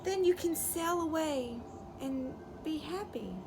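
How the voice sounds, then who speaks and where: A woman plays a gentle old female tree from a storybook, giving and loving with a touch of sadness. The voice is fairly high and slow, halting and tender, over clear background noise. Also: expressive, heavily acted.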